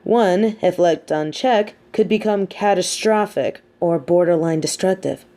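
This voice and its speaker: A woman playing a sardonic, teasing supervillain with a hidden soft side, talking straight to the listener in an audio roleplay with theatrical, mocking emphasis. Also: fairly low pitch, measured pace. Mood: musing, lecturing. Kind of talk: scripted audio roleplay monologue spoken to the listener.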